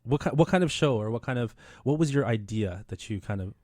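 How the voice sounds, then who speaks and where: Male radio host, 30s, loose and rambling, mid-pitched, dry studio mic. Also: lively intonation, fast talker, dark tone, no echo. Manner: rambling, many fillers and restarts. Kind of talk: casual radio chat.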